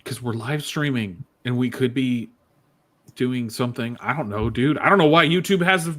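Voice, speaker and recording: Bright-voiced joking podcast host, man. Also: medium pitch. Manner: jokey, quick laughs, teasing. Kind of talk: casual chat on a live stream.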